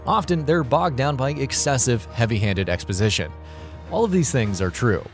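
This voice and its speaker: A man's voice: mid-range voice, animated, moderate pace, faint music behind. A man reading a scripted video narration as the narrator.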